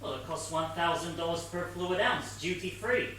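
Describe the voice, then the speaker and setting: A man playing an earnest, know-it-all junior detective sidekick in a comic stage play, explaining things deliberately. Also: a little high, pushed and bright. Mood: knowing, analytical. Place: theatre stage, picked up from a distance. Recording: distant echoey theatre stage recording, faint noise.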